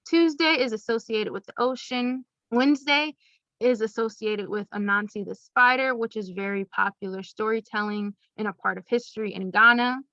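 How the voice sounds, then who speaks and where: Young female presenter for a kids' class: higher voice, upbeat and clear, dull dry computer-mic sound. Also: pushed and bright, no room sound. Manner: upbeat, teacherly, speaks plainly to children. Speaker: presenter to schoolchildren.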